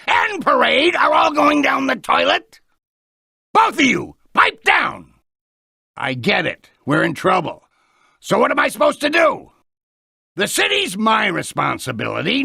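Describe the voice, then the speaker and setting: An actor playing a brash, glib, self-serving city mayor in a video game, with a raspy voice and a theatrical manner. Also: male voice, extremely high pitch, sharp tone, no room sound, studio quality, full character voice. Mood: angry, exasperated. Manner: theatrical, swinging from sly schmoozing to bellowing.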